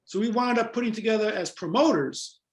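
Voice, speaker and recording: An older man with an American accent reminisces in conversational interview style. His voice sits high and has a gravelly fry to it. The recording is roomy and echoing. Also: brisk. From Jamaica-born, raised in New York. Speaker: interview guest, former club promoter.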